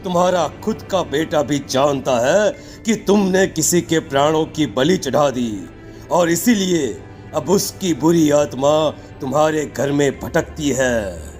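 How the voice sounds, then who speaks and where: A man playing a stern occult holy man in a horror cartoon, speaking gravely and accusingly. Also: fairly high pitch, unhurried, darkish tone, soft background music, close to an everyday voice.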